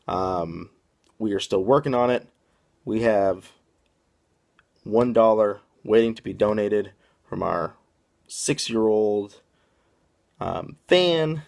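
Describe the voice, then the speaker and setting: A man from the Boston area hosts a casual podcast with a fairly low, gravelly voice. He rambles as he goes and fills his pauses with plenty of "um"s. There is a rough fry at the bottom of his voice. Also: a little mellow. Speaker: podcast host.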